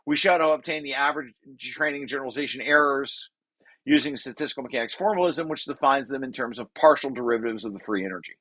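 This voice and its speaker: Man, bright forceful voice, technical read-through, informal asides, self-correcting, halting explanations. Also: medium pitch, low-quality recording. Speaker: presenter.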